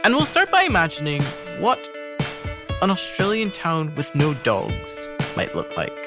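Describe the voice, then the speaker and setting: A woman delivers a scripted podcast introduction as host. Her pitch moves very widely, and loud plucked guitar plays behind her. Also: huge pitch swings, a little slow. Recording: voice over loud guitar music. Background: loud plucked guitar music.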